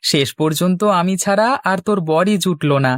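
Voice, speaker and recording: A man's voice: sits high, normal speed, dry recording, clean studio sound, lightly acted. A man voicing a flighty, childish cartoon young man in his early twenties, teasing in a whiny, mocking manner.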